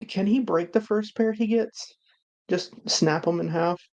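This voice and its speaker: A woman's voice: mid-range voice, measured pace, a little mellow. A woman playing a tabletop game, chatting casually in a slangy, blunt way.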